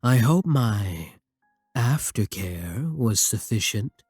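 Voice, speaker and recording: Man voicing a slow, husky, devoted vampire king. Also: lowish voice, expressive, no echo, clean studio sound, soft background music, exaggerated voice. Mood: tender, reassuring. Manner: measured, formal, gently intimate. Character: devoted, courtly vampire king, tender and formal. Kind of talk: romantic ASMR roleplay.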